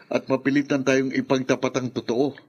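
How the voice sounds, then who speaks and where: A blunt, suspicious young man, voiced by a man, with an ordinary mid-pitched voice. He accuses hard and pushes back defensively, the way a radio play character does. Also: little pitch movement, fairly quick, husky, muffled recording, natural voice. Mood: accusing. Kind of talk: radio drama acting.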